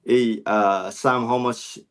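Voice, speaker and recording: A man's voice: mid-range voice, steady tone, slow, fairly bright tone. A man in his forties from Guyana, talking reflectively as a podcast guest with frequent restarts. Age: 40s. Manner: reflective, many restarts and fillers. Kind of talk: casual interview chat.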